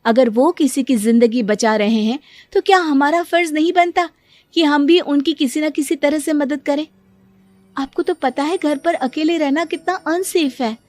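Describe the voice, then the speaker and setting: A woman's voice: sits high, normal speed, a little mellow, no echo, pitched above the performer's own voice, natural voice. A woman voicing an earnest, caring young daughter who reasons and pleads, in a cartoon story. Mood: earnest pleading. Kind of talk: acted cartoon story dialogue. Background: music.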